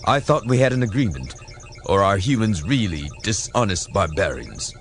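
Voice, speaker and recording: A haughty alien commander, put on by a male reader, proud and indignant. His formal words come in a raised, swinging pitch in a science fiction audiobook, over faint noise. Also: very animated, moderate pace.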